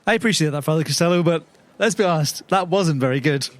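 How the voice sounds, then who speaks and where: High voiced guy playing a tabletop rpg, chatting in character. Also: quick pace. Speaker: tabletop roleplay podcast player. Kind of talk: improvised in-character roleplay chat.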